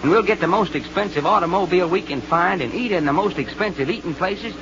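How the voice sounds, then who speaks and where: A man's voice: sits high, fast. An actor playing a boastful, girl-crazy, folksy adventurer with a Southern American drawl in an old-time radio serial. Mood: excited. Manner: folksy drawl with colloquial slang. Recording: muffled, noisy old-time radio recording.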